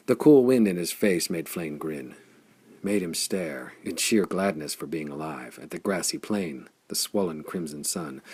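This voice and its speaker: Male audiobook reader, gravelly and husky, steady measured storytelling. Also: low voice. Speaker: audiobook narrator. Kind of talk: scripted audiobook narration.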